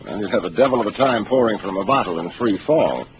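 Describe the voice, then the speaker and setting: An actor playing a calm, seasoned starship captain who speaks in a measured, authoritative way, in a 1950s radio drama. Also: male voice, on the low side, close to an everyday voice. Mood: explaining. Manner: measured, authoritative, explaining patiently. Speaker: ship captain. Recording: hissy narrow 1950s radio broadcast.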